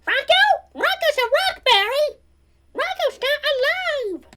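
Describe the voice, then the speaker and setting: Tiny childlike monster for comic radio play: extremely high, piercing bright voice, earnest, innocent, eager. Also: male voice, very animated, unhurried, slight background noise, full character voice. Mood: cheerful, eager. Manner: speaks of self in third person, earnest. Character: Elmo, small childlike monster, eager and innocent, playing a boy. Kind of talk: comedic radio play acting.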